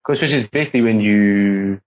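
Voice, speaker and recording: A man's voice: fairly low pitch, brisk. Young man, British accent, from Singapore, rambling, lots of fillers, muffled recording. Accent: British English, code-switching from Singaporean. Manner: rambling, heavy use of filler words. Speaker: podcast guest. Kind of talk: casual chat. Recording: muffled lo-fi podcast audio.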